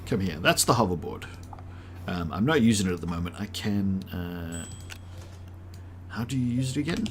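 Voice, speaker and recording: A man in his late thirties with a fairly low voice and a mixed South African, Australian and American accent. He rambles casually through a live game stream and thinks aloud with plenty of ums. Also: animated, moderate pace, long pauses, slight background noise. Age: late 30s. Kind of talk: live-stream gameplay chat.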